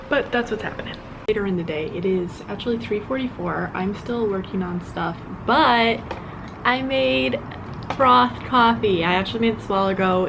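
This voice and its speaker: A woman's voice: mid-range voice, creaky, background noise. A young woman vlogging casually at home, chatty and rambling, a little congested and nasal. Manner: chatty, rambling, self-deprecating. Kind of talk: casual vlog chat. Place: at home in an apartment.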